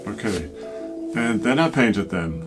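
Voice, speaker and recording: A man's voice: fairly low pitch, animated, takes it slowly. A man hosting a scripted comedic craft video, asking eager questions with self-deprecating humor, with music playing behind. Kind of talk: scripted comedic tutorial dialogue. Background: background music.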